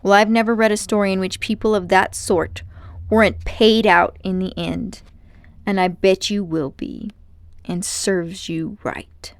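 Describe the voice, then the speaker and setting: A woman's voice: medium pitch, fairly even intonation, measured pace, no room sound, lightly acted. A woman voicing an outspoken, hot-tempered schoolboy in storybook dialogue, blunt, indignant and accusing. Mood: defiant.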